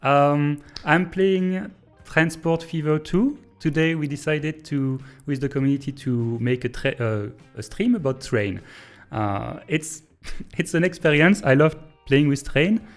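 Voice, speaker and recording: A man with a French accent, a native French speaker, thinking aloud in a hesitant, pause-filled way as he streams and comments on a train-building game. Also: fairly high pitch, faint music behind. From Belgium. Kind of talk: casual live-stream gameplay commentary.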